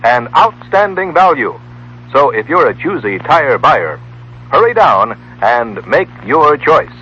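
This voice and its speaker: A man's voice: medium pitch, big pitch swings, takes it slowly, bright, forceful tone, breathy edge. An American man reading a scripted commercial in 1960s radio announcer style. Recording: muffled vintage radio recording.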